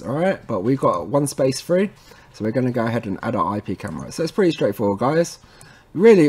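A man presenting a technical tutorial in an informal way, talking viewers through each step and often checking in with a quick tag word. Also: mid-range voice. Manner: informal, addresses viewers as guys, frequent tag okay. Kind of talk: casual screen-recorded how-to walkthrough.

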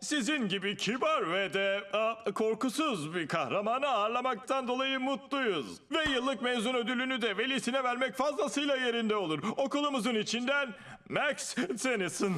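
An actor playing an effusive, polite school dean with a formal, ceremonious way of speaking in a dubbed cartoon. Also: male voice, extremely high pitch, lively intonation, moderate pace, sharp tone, heavily acted. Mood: formal, welcoming. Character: gushing, polite school dean hosting a gala.

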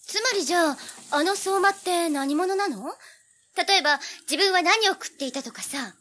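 An actress playing a pert, feisty teenage girl in an audio drama, with girlish, animated delivery. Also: very high-pitched, normal speed, full character voice. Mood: curious.

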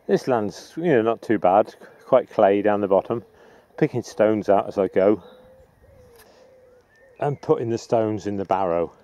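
A middle-aged man giving a casual, unhurried, matter-of-fact gardening vlog demonstration in a rambling way. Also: fairly low pitch, warm tone, dull audio. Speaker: allotment gardener presenting own video. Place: outdoors on an open allotment plot. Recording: outdoor background noise with birdsong.

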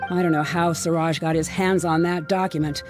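A proud young researcher speaks with mocking scorn in a lowish voice, played by a woman. Game music plays clearly behind her. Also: steady tone, normal speed, lightly acted. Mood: dismissive. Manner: mocking, scornful, laughs dryly.

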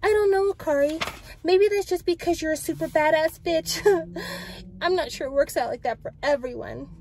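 Woman acting high, pleading, remorseful young pop idol. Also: normal speed, a little mellow, slight background noise. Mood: wistful admiration. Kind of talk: dramatic book read-aloud.

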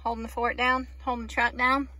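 A woman's voice: on the high side, level tone, brisk. A woman in her forties vlogging casually about the farm, chatty and often laughing, filmed on a phone held at arm's length in a truck and out in the fields. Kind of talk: casual vlog narration. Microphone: phone camera held at arm's length. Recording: noisy outdoor phone recording. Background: truck and outdoor ambience.

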